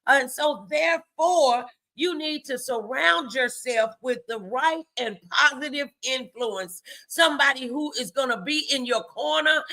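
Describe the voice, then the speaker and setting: A woman's voice: sits high, a little slow, vocal fry, hardly any pauses, pushed and bright, rough recording. A woman hosting a faith-based motivational livestream, speaking emphatically and repeating key phrases to exhort her listeners.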